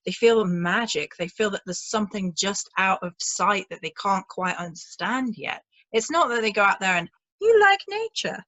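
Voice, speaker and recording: A woman's voice: medium pitch, expressive, normal speed, a bit bright, dry recording. A woman with a British accent, chatting casually as she hosts an interview. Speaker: interviewer, channel host.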